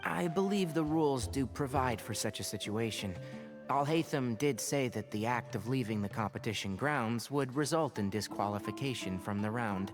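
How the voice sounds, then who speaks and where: A man's voice: mid-range voice, animated, moderate pace, close to an everyday voice. Man acting frank, articulate young researcher over music. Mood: matter-of-fact. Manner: articulate, matter-of-fact, explanatory. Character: frank, level-headed young male researcher giving practical advice. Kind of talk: anime-style video game voice acting. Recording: music playing behind the voice.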